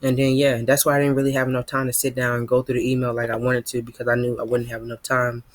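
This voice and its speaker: A man's voice: medium pitch, monotone, quick pace, dry recording. A teenage boy, rambling casually to his viewers as a gaming YouTuber, sprinkling his talk with "man" and "you know what I mean". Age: teens.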